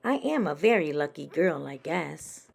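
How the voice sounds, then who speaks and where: A woman voicing a picky little girl animal in a children's storybook, with a plaintive, sing-song, drawn-out delivery. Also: low voice, very animated, creaky, slightly rough, exaggerated voice.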